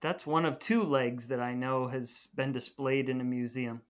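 A man's voice: mid-range voice, fairly even intonation, moderate pace. A man co-hosting a podcast in casual banter, chipping in with brief, joking remarks. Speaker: podcast co-host.

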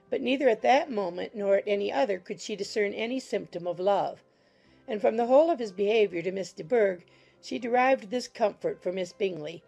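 Female audiobook narrator, mellow and creaky, mid-pitched, measured formal read.

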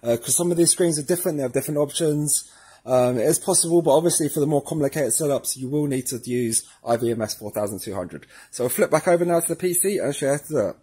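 A man presents an informal step-by-step tutorial. His voice sits a little high for a man and has a husky grain. He talks through each hands-on step casually as he does it. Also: fast talker, warm tone.

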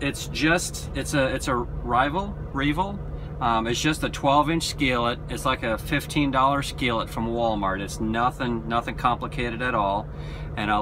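Laid-back man talking over loud truck noise. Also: mid-range voice. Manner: relaxed, rambling with frequent ums and restarts. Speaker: vlogger, truck driver. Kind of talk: casual cooking vlog. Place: outdoors beside a truck at night, then inside the truck cab.

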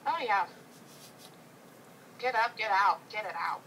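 A woman's voice: mid-range voice, unhurried, sharp tone, slight background noise. A woman guest on a casual video call, chatting about improv in a bubbly, playful way with frequent giggles.

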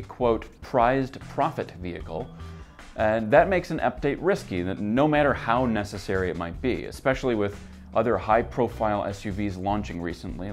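A man in his thirties hosting a scripted gear news roundup, seated at a desk in an office and talking briskly from his notes. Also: on the low side. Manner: brisk, upbeat presenter reading from notes.

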